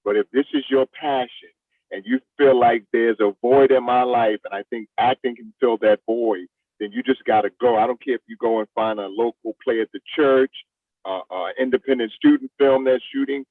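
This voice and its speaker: A man's voice: mid-range voice, fairly even intonation, normal speed, dry recording, phone-quality sound. A man in his fifties, a veteran actor, chatting casually and plainly as an interview guest. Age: 50s. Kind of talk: casual chat.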